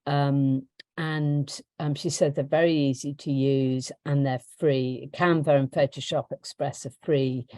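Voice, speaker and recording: A woman hosting a business webinar, talking through slides in a hesitant way with lots of ums and a croaky voice. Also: rumbling low, fairly even intonation, measured pace, dark tone, no room sound. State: croaky voice, clearing throat. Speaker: webinar host for a holiday rental business.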